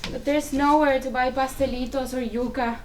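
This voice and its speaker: Woman acting high-voiced, worn-out, exasperated mother. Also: steady tone, normal speed, darkish tone, lightly acted. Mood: guarded, wry. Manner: weary, exasperated, pleading. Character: exhausted, exasperated Cuban immigrant mother, wounded and guarded. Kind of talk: dramatic play reading. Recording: distant mic, faint room noise.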